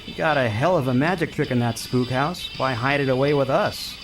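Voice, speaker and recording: A man's voice: medium pitch, brisk, music in the background, close to an everyday voice, low-quality recording. An actor playing a weary, cynical, slightly drunk showman in an audio drama, casual, blunt and challenging. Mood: tipsy, probing.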